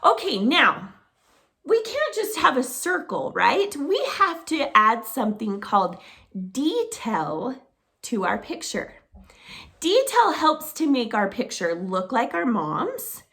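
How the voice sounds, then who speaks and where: Bright, high, animated female preschool teacher. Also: takes it slowly, creaky. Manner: animated sing-song teacher talk, addressing small children. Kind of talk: children's circle-time lesson to camera.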